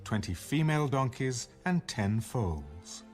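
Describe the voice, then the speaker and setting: A man's voice: mid-range voice, very animated, slow pace. A man narrating an audio Bible in a dramatic, scripted storytelling style. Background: background music.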